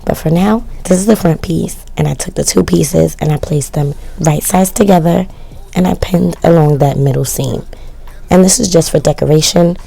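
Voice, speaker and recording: A woman narrating her sewing project in a casual, chatty voice-over, her voice worn and cracking from yelling, with self-mocking, shrugging asides. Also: deep voice, moderate pace, vocal fry, slightly rough. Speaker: sewing vlogger.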